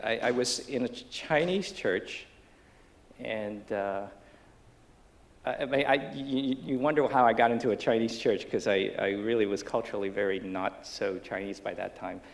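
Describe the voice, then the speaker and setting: A middle-aged man from Taiwan, a business professor on a panel stage, telling his life story in a halting way with many restarts and fillers. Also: fairly low pitch, vocal fry. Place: lecture stage under bright lights before an audience.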